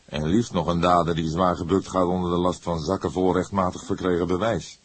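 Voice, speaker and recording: A man voicing an older grey-haired police detective in an audiobook, calm, wry and disapproving, speaking in an unhurried, lecturing way. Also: low voice, breathy edge, no room sound. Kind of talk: audiobook character voice.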